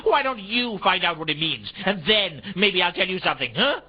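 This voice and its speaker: A man's voice: high voice, expressive, moderate pace, husky. An actor playing an unhinged, taunting male character in a noir video game, coaxing as he talks. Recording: dull lo-fi game audio.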